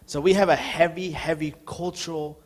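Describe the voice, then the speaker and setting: A man's voice: fairly high pitch, steady tone, moderate pace. Earnest man asking a long question. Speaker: audience member asking a question.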